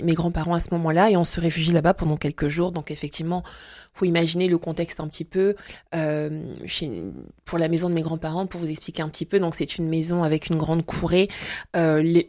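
A woman in her forties from Congo-Brazzaville, a guest telling her faith story in an interview and pausing often with fillers and restarts. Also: on the low side, a little mellow, no room sound, studio quality. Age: 40s. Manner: hesitant, many fillers and restarts. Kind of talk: testimony interview.

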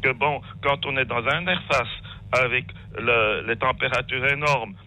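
Male phone-in caller, strikingly sharp bright tone, halting and rambling. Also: medium pitch, rough recording. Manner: hesitant, rambling, repeats words. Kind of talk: spontaneous radio call-in remarks. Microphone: telephone call.

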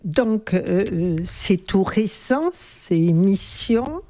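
A woman interviewer gently prompting her guest with questions. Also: medium pitch, expressive, extremely slow. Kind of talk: radio interview.

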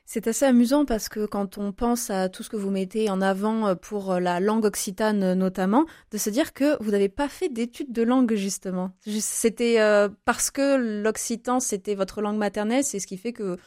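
A woman's voice: mid-range voice, normal speed, hardly any pauses, a little mellow, no room sound. Dry-sounding female radio host. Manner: hesitant questions with many fillers. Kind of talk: radio interview.

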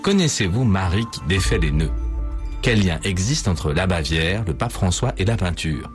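A man reading a scripted radio promo in a measured announcer style. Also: deep voice, animated, soft background music.

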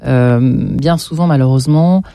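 A woman's voice: extremely low pitch, unhurried, gravelly, warm tone, dry recording. A woman hosting a radio interview, asking questions and reacting with short interjections. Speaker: radio host.

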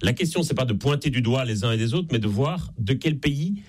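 A man with a Belgian French accent, an author being interviewed on a radio call-in show, explaining in a measured way. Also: mid-range voice, lively intonation, darkish tone. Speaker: author guest.

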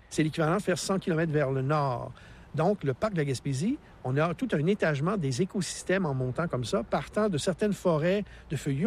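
A man's voice: on the high side, a little mellow, slightly rough. A man in his sixties with a Québécois accent, a park naturalist explaining the mountain landscape with enthusiastic, teacherly energy. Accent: Québécois French. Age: 60s. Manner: enthusiastic, teacherly, laughs easily. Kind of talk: radio interview, explaining outdoors.

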